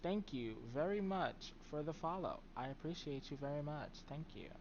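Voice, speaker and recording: A man streaming a game live, chatting in a very soft, mild voice with plenty of ums and trailing words. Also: a little high, lively intonation, moderate pace, dull audio, background noise. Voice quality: very soft voice that does not carry.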